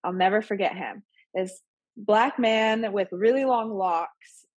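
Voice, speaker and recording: A woman's voice: medium pitch, takes it slowly, dry recording, lo-fi sound. A woman in her thirties, a little sick and nasal, chatting casually as a podcast guest with lots of fillers. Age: 30s. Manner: chatty, rambling with many fillers and laughs. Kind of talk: casual interview chat.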